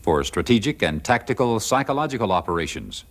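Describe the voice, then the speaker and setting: A male narrator delivers scripted military film narration. His pitch moves in lively swings, and the old soundtrack is heavy with noise. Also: fairly quick. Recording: noisy 1980s training film soundtrack.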